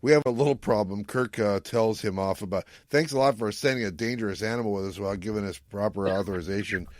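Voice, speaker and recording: Low-pitched man recapping a show casually. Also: fast talker, no echo, clean studio sound. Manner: jokey, paraphrasing dialogue in recap. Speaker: podcast host. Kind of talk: casual episode recap with a co-host.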